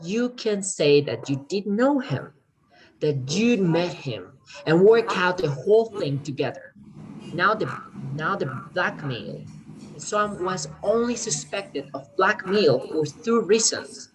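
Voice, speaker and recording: A woman performing in a readers theater as a crime writer, earnest and persuasive, speaking haltingly while pitching a scheme to save a friend. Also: animated, a little hiss or hum, low-quality recording. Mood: confident, reasoning.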